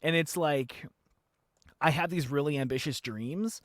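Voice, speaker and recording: A man's voice: a little high, unhurried, dry recording, studio quality. A man in his thirties hosting a podcast, chatting casually, laughing often and swearing freely. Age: 30s. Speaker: podcast host. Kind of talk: casual chat.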